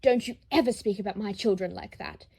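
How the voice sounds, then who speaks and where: A woman's voice: medium pitch, a little fast, gravelly, a little mellow, husky. A woman voicing a wounded, defiant, fiercely protective grown son in an audio story, impassioned and confrontational. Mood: furious.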